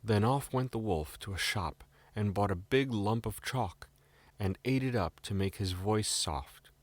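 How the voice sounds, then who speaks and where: A British male storyteller reads a scripted fairy tale. His voice sits a little low. The sound is dry and close, like a bedtime storybook recording. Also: unhurried, no echo.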